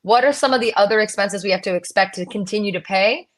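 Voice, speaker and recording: Female live-stream host, mid-pitched voice, conversational, playful, plugging things as she chats. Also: fast. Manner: chatty, playful, promotional. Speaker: host of a live real estate Q&A. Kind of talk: casual live-stream hosting and chat.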